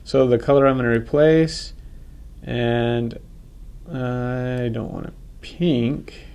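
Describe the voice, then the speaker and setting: A man with a head cold, talking casually through a software tutorial and correcting himself as he goes. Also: medium pitch, fairly even intonation, slow pace, creaky, smooth. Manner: casual, thinks aloud, self-correcting. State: congested from a cold. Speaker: software instructor. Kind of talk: screen-recorded software tutorial.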